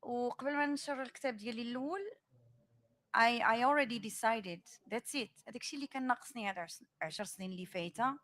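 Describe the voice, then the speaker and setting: A woman's voice: on the high side, fairly bright tone. A Dutch-born woman poet whose first languages are Dutch and Moroccan Arabic, speaking on a panel. She talks thoughtfully and moves between English and Moroccan Arabic as she tells her stories. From Netherlands. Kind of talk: panel discussion.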